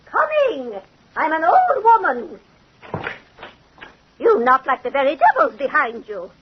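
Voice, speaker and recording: A woman's voice: high-pitched, big pitch swings, measured pace, a little hiss or hum. An actress playing a wary, sly old woman who tells fortunes, in old-time radio horror. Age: older. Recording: husky, muffled old radio recording.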